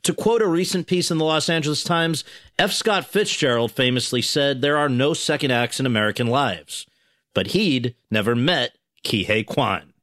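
A man hosting a podcast interview in front of a student audience, reading a formal introduction in a measured way. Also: mid-range voice, fairly bright tone, no echo, studio quality. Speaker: podcast host. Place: university venue with audience.

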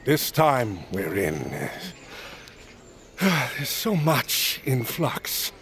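A man playing a calm rebel chief in a fantasy audio drama, deliberate and courteous with menace underneath. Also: mid-range voice, creaky, background noise, full character voice. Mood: calm, ominous.

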